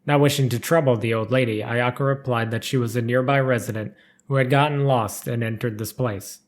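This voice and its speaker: A man narrating written lore as a storyteller, with a measured, formal read-aloud delivery. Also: medium pitch, fairly even intonation. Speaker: narrator reading game character lore.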